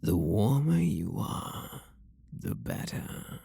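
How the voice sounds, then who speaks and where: A man with a British accent playing a refined, possessive and seductive vampire lord in an intimate ASMR roleplay, speaking softly and coaxingly with a breathy, husky voice. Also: on the low side, sing-song, exaggerated voice. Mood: flirtatious, hungry. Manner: soft, coaxing, flirtatious, unhurried.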